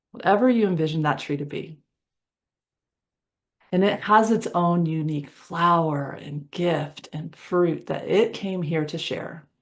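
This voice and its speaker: Female meditation guide: gravelly fry, very slow delivery, gentle and conversational, improvising a visualization in short phrases. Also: lowish voice. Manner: soothing, conversational, pausing between short phrases.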